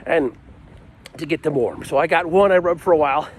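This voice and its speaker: A man's voice: fairly high pitch, fairly quick, slight background noise. A middle-aged American man telling a comic personal story in a casual, rambling, self-deprecating way with frequent asides.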